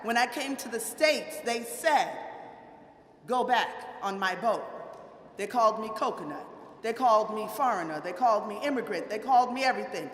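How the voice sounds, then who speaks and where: A woman's voice: on the high side, expressive, moderate pace. A middle-aged woman from Montserrat giving an informal, motivational public speech, playfully putting rhetorical questions to her audience. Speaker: guest speaker, political chief of staff. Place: large hall at a live event. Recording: echoey hall with faint crowd.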